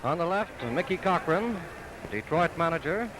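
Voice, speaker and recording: A man's voice: a little high, lively intonation, moderate pace, loud music behind the voice. An American man giving emphatic, punchy baseball commentary as a newsreel announcer of the 1930s. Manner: emphatic, declamatory delivery in short punchy phrases. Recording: noisy 1930s optical newsreel soundtrack, crowd roar. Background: stadium crowd noise.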